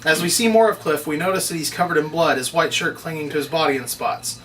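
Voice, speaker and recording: A man's voice: a little high, fast, fairly bright tone. A man reading scripted screenplay narration and stage directions at a table read. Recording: distant mic, faint background noise.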